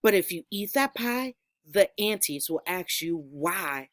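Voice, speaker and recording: A woman's voice: fairly low pitch, expressive, unhurried, a bit bright, dry recording, clean studio sound. A woman voicing a scolding talking hairbrush from a children's storybook, warning in sing-song rhyme.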